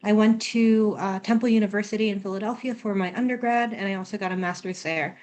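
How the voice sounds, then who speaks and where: A woman's voice: medium pitch, steady tone, fast talker, darkish tone. A young woman introducing herself casually as a student panelist on a video call. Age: young adult.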